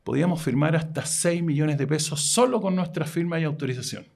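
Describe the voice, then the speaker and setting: A middle-aged man, recounting his career in steady detail as a podcast co-host. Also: a little high, fairly quick, vocal fry. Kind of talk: casual podcast chat.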